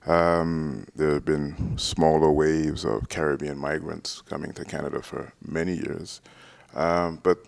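A man in his thirties explains in a documentary interview, measured and full of ums. His voice sits extremely low with a gravelly fry. The handheld mic is close and the sound is dry. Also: fairly even intonation, no room sound. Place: indoors, red-walled room, seated on couch. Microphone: handheld mic close to mouth.

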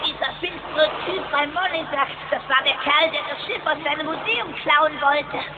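A woman's voice: high-pitched, normal speed. A woman voicing a spirited young boy duckling, an eager and loyal nephew in a cartoon audio drama. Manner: eager, encouraging. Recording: muffled noisy old cassette.

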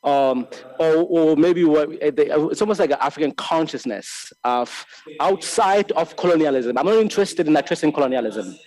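A man in his thirties from Lesotho giving a filmmaking master class, speaking reflectively and haltingly, repeating words and restarting often. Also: fairly high pitch, a little mellow, low-quality recording. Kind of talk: reflective spoken lecture. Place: large bright hall with tall windows. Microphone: desk microphone on a stand.

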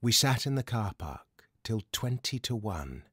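A man with a British accent reciting a poem as a reader, with measured, expressive phrasing. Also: on the low side, husky, no room sound, clean studio sound. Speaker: poetry reader. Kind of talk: poetry recitation.

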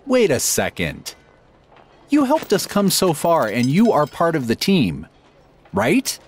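A man's voice: a little high, wildly swooping pitch, slow pace, slightly rough, full character voice. A man playing an easygoing, teasing companion in a fantasy audio drama, conversational and a bit hesitant.

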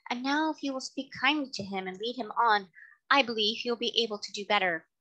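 A woman voicing a kind, gentle lady who speaks politely and earnestly in a storybook reading. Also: a little high, lively intonation, normal speed, low-quality recording. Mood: gently persuasive. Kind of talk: audiobook character reading.

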